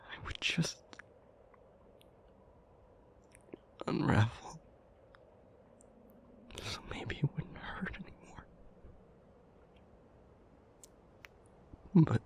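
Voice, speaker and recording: A brooding, protective demon lover, acted by a man speaks in a breathy whisper that cracks into gravelly fry. The delivery is halting and emotionally heavy, in the intimate manner of an audio roleplay. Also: a little high, full character voice. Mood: anguished.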